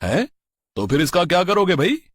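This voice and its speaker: A man voicing a nosy, mocking village neighbour in a cartoon story, loud and exclamatory. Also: high voice, wildly swooping pitch, moderate pace, husky, no room sound, clean studio sound, exaggerated voice.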